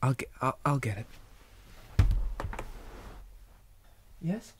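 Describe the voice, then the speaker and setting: A man playing a shy, polite zombie boy in an ASMR roleplay, speaking softly, often in whispers, hesitant and flustered with old-fashioned manners. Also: mid-range voice, sing-song, brisk, slight background noise. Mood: shy, tender. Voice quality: soft, breathy, often whispered.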